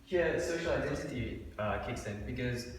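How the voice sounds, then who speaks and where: A man's voice: mid-range voice, animated, normal speed, a little hiss or hum. A young man giving a class presentation in a dim lecture room, talking with hesitant fillers and restarts. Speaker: student presenter. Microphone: far room mic. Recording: echoey room from far off.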